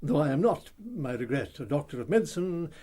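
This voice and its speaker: A celebrated old English detective in a radio drama. He is courtly and self-satisfied, speaking in a high, very animated voice with formal, theatrical precision. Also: brisk. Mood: self-assured, showing off.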